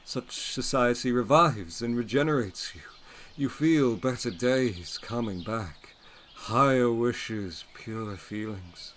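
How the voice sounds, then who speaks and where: An actor playing a brooding, commanding master of a gothic manor in a period audio drama, intense and imperious in manner. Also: male voice, mid-range voice, slow pace, hardly any pauses, a bit bright, slight background noise. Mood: fervent, brooding.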